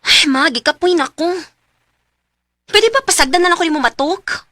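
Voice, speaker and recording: An actress with a Visayan Filipino accent playing a headstrong, rebellious young daughter in a radio drama. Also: female voice, very high-pitched, expressive, normal speed. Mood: weary, irritated.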